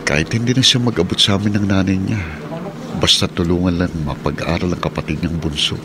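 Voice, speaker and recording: Tired filipino jeepney dad, low voice, radio drama, engine noise behind. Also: male voice, steady tone, fairly quick. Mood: brooding, troubled. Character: weary, hard-up jeepney driver and family father.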